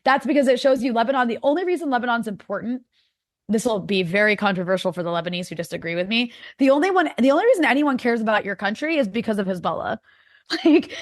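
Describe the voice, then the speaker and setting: A woman's voice: a little high, no echo, clean studio sound. A woman with an American accent, a guest in a casual interview, talking in a rambling way full of "like" and restarts. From United States. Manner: rambling, many likes and restarts.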